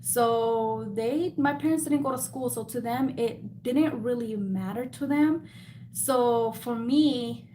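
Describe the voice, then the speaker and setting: A woman's voice: a little high, a little mellow, slight background noise. A woman with a slight Mexican Spanish accent hosting a live Q&A, chatty and self-deprecating, laughing often. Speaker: beauty business host. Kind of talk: live Q&A chat.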